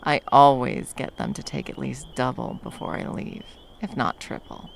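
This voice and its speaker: A woman playing a battle-worn monster hunter, gruff but tenderly protective, in an intimate ASMR roleplay with soft teasing and drops into whispers. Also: very deep voice, steady tone, moderate pace, a little hiss or hum, exaggerated voice. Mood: caring, relaxed.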